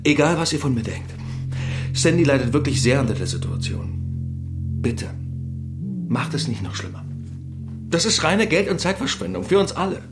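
A hot-tempered husband under strain in a radio drama. His medium-pitched voice swings widely, halting and defensive, with music behind it. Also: sing-song. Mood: strained, apologetic. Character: hot-tempered, stressed husband forcing out an apology. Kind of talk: German radio drama acting.

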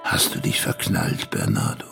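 An actor voicing a teasing, mocking imaginary creature that needles a boy in a radio play, with a gravelly voice. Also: normal speed, fairly bright tone, distant mic, faint music behind, lightly acted. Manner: mocking, goading.

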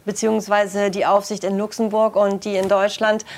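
A woman's voice: mid-range voice, little pitch movement, moderate pace. A woman journalist explaining a situation in conversational interview speech, a little hesitant. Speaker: business journalist.

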